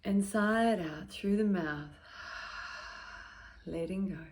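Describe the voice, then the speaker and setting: A woman leading a guided meditation in a calm, gentle, soothing manner, pausing between short phrases and letting their endings turn soft and breathy. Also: mid-range voice, a little hiss or hum.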